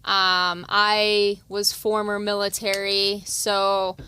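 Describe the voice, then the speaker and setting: A woman's voice: medium pitch, monotone, takes it slowly, sharp tone, slight background noise. Light-voiced woman police officer. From West Virginia. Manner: light, youthful voice. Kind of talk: casual interview.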